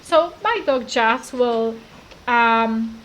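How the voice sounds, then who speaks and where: Polish girl rambling about her card game, kinda high voice, bit of hiss in the background. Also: drawn-out words, fairly bright tone, low-quality recording. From Poland. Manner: chatty, rambling, full of fillers. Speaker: gaming YouTuber. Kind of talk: casual chat to camera.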